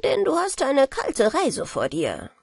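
A woman's voice: high-pitched, wildly swooping pitch, slow, creaky, darkish tone, no room sound, clean studio sound, pitched above the performer's own voice, exaggerated voice. A woman voicing a genial old male merman who talks in a coaxing, matter-of-fact way, reading a storybook. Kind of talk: storybook character voice.

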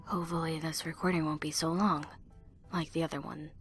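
A woman's voice: deep voice, level tone, brisk, breathy edge. A woman amateur voice actor in outtake chatter, goofing around playfully with music behind her. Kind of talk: outtake chatter between scripted lines.

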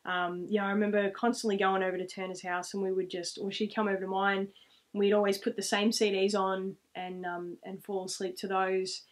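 A woman's voice: mid-range voice, level tone, fairly quick. Rambling Australian woman telling personal stories. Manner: rambling, reflective. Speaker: vlogger. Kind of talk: casual storytelling to camera. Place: small room at home, indoors, seated.

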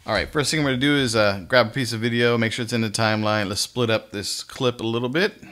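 A man hosting a casual software tutorial, explaining each step in an informal, folksy way. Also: medium pitch, quick pace. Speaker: tutorial host.

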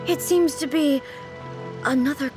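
An actress playing a dignified, earnest goddess ruler in a video game, speaking in a formal, measured, thoughtful way. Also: female voice, high voice. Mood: puzzled wonder. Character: dignified, earnest female deity-ruler, curious about the world. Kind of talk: video game story dialogue. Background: background music.

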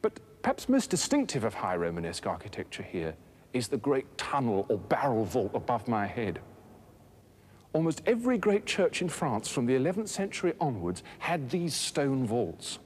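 A man in his thirties presenting a scripted educational documentary in a measured, articulate, expository manner. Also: mid-range voice, a little mellow, slightly rough. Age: 30s. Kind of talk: scripted educational narration on architecture. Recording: noisy old documentary film soundtrack.

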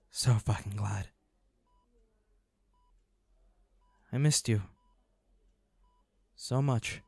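A man's voice: medium pitch, mellow, no room sound, natural voice. A man playing a protective, devoted hunter boyfriend in a dramatic roleplay audio, speaking earnestly and intensely. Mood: relieved, tender.

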